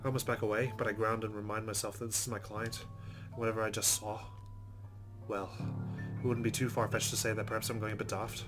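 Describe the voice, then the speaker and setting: A man's voice: fairly low pitch, flat delivery, fast, music in the background, poor audio. A man reading a visual novel's narration aloud as a let's-play host, with casual commentary.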